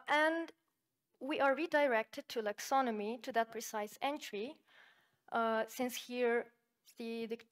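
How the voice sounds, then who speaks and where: A woman whose first language is Slovenian, giving an academic conference talk and walking through a software demo in a measured, explanatory way with frequent fillers. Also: a little high, smooth. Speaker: conference presenter.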